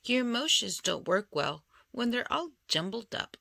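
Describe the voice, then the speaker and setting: A woman reading a children's picture book aloud in an expressive storytelling style. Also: a little high, normal speed, creaky, dry recording, clean studio sound. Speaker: storybook reader.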